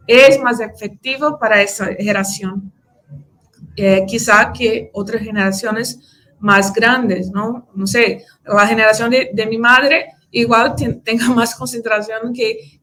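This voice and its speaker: A woman from Brazil, a native Portuguese speaker, answering audience questions at a conference in Spanish with a Brazilian accent, halting often with fillers and restarts. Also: on the high side, a bit bright, poor audio. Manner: hesitant, many fillers and restarts.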